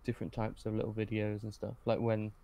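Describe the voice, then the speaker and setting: Low-key young man, rather flat delivery. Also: creaky. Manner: hesitant, low-key, pauses mid-sentence. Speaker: podcast guest, script writer. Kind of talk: casual reminiscing in interview.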